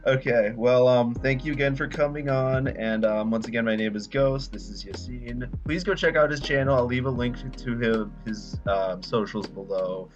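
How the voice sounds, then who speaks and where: A man's voice: mid-range voice, moderate pace, few pauses, over music. A man hosting his own channel in a casual interview, speaking hesitantly with frequent "um" and restarts. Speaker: host of a gaming channel. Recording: dull lo-fi audio.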